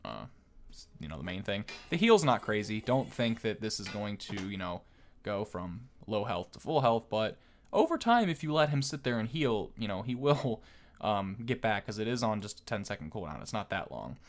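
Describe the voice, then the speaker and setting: A man's voice: on the low side, sing-song, moderate pace. A man giving casual game commentary as a video host, explaining things in a conversational way.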